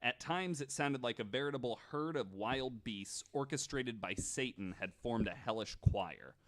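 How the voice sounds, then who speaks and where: A man with a voice of everyday pitch hosts a casual podcast. He relates a story from his notes in a loose, relaxed way. He pads it with fillers and restarts. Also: moderate pace. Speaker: podcast host.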